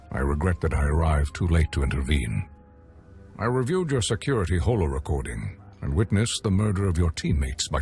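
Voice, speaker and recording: Deep, lilting and ceremonious, a stiff official oversees a deadly hunters' contest in a game scene. Each word is precise and formal, the pitch rolling low yet lively, with soft music beneath. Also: male voice. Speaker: tournament official. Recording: music behind.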